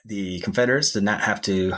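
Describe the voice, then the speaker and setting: A man with a husky voice telling history in a conversational podcast style. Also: on the low side, fairly quick, fairly bright tone. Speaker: podcast co-host.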